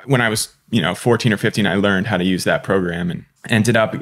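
Low-voiced man in his thirties. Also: fairly quick, fairly bright tone. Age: 30s. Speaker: guest filmmaker. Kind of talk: casual interview chat.

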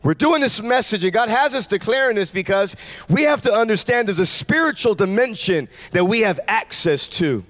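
A man in his forties preaching a sermon in a large church, speaking into a handheld mic with fervent, shouting delivery. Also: high voice. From United States. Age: 40s. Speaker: pastor. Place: large church stage with congregation.

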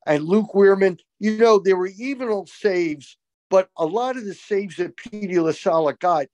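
An older man co-hosting a postgame lacrosse show over a video call, talking with emphatic, opinionated excitement. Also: high-pitched, normal speed, no echo. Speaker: co-host, college lacrosse analyst. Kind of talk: excited postgame sports talk. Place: small room indoors. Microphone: video call webcam.

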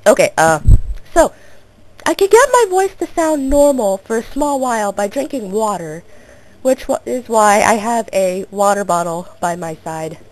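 A teenage girl with a hoarse, sore throat, rambling through casual gameplay commentary. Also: medium pitch, animated, moderate pace, a little mellow, rough recording. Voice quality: gravelly. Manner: rambling and self-interrupting, coughing now and then. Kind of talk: casual let's play commentary.

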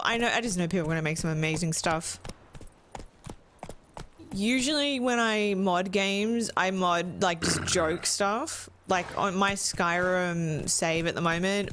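An Australian woman chatting excitedly and swearing casually as she streams a game. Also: fairly low pitch, a little hiss or hum. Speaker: gaming streamer. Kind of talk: casual let's-play chat.

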